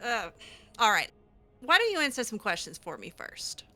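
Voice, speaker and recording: A woman's voice: a little high, wildly swooping pitch, moderate pace, pushed and bright. A woman improvising in character for a game roleplay, sardonic and hesitant, given to sighing. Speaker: gamer voicing her own character in a roleplay playthrough.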